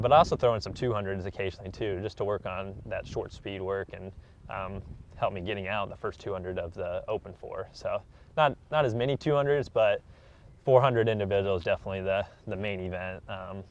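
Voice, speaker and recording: Male athlete, 20s, low register, matter-of-fact interview answers, slightly muffled audio. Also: steady tone, brisk, slight background noise. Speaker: elite track athlete being interviewed. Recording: dull, muffled lo-fi recording.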